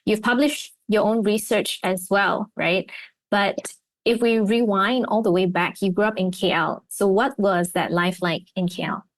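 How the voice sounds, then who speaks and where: A woman's voice: medium pitch, fairly even intonation, unhurried, no echo. A young woman hosting a podcast interview, chatty and friendly, with many fillers. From Malaysia. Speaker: podcast host. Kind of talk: casual interview chat.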